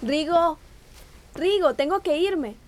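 Caring, anxious young sister, Costa Rican accent, very high pitch, radio drama. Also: female voice, slow, background noise. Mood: worried, nagging. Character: caring, worried young sister, gently nagging. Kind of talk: Spanish-language radio drama acting.

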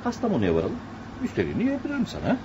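A greedy, scheming brother-in-law. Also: male voice, high-pitched, wildly swooping pitch, racing, dark tone, full character voice. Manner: sly, dramatic swings. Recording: muffled old radio recording with clear hiss.

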